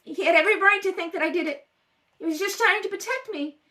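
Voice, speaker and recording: A woman's voice: very high-pitched, fast talker, bright, forceful tone. A woman playing a distressed, earnest murder suspect, pleading emphatically in old-time radio melodrama style. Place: home kitchen table. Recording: distant home rehearsal recording.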